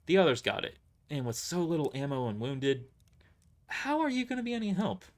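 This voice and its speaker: A man's voice: on the high side, very animated, brisk, voice pushed up. A man voicing a cocky, brash mercenary squad leader in an audio drama, boastful, casual and dismissive. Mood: brusque.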